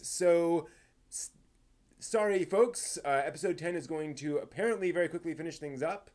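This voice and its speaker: A man's voice: on the high side, a little mellow. Man casually hosting an American news stream. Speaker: live stream host. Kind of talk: casual anime news rundown on a live stream.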